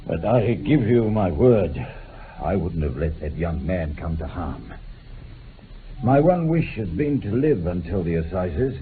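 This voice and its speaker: A man playing a frail, weary, dying old gentleman in a radio drama, speaking earnestly in a halting, effortful way. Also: low voice, very animated. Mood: anxious, earnest. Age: older. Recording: tinny band-limited vintage radio, faint noise.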